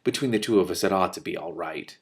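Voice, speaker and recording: A man's voice: low-pitched, quick pace, lightly acted. A man reading the part of an earnest, dutiful young king in a storybook, polite and plain-spoken. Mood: earnest, reassuring. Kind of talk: expressive audiobook-style character reading.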